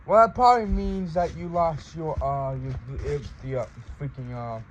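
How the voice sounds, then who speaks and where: A man in casual conversation as a fellow radio hobbyist, halting and searching for his words. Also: fairly high pitch, slight background noise. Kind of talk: casual chat.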